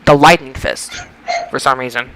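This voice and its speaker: A man's voice: medium pitch, fairly even intonation, normal speed, noisy background. A man hosting a casual wrestling results podcast, often stammering and repeating his phrases. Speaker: podcast host.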